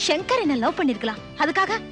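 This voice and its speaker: A woman's voice: high-pitched, very animated, fast talker, a bit bright, faint music behind. An actress playing a lovelorn film heroine in a TV serial, delivering her lines melodramatically. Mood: impassioned.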